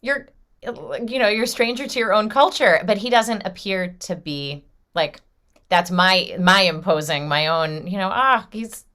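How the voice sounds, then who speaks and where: A woman's voice: medium pitch, unhurried, sharp tone. A woman rabbi hosting an interview over a video call, asking questions tentatively and chatting in a casual, unpolished way. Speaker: rabbi and event host.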